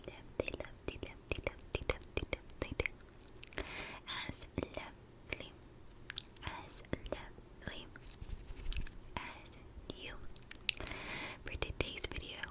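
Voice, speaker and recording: Asmr girl whispering a true crime story, soft n breathy, takes her time and repeats words. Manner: slow, deliberate, repeats words softly. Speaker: ASMR YouTuber, host.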